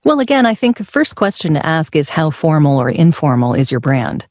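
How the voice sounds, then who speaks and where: A woman with a smooth, slightly low voice talks as an interview guest about her voiceover work. She laughs easily and pokes fun at herself. The recording is dry and close, with no room sound. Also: big pitch swings, brisk, a little mellow, dry recording. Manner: laughs often, light and self-deprecating. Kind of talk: conversational interview.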